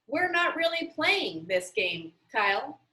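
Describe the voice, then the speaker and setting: A woman's voice: high voice, very animated, slow, pushed and bright, heavily acted. A woman reading the part of a blunt, impatient know-it-all girl in a children's storybook. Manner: emphatic, impatient. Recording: roomy, echoey sound.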